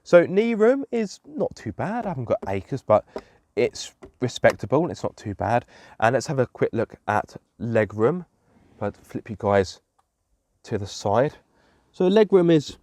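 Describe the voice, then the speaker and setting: A man's voice: mid-range voice, darkish tone, no echo. A young man with a blocked nose, sounding slightly nasal, giving a chatty car review from inside the vehicle and often correcting himself. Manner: chatty, self-correcting with fillers. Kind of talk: casual car walkaround review.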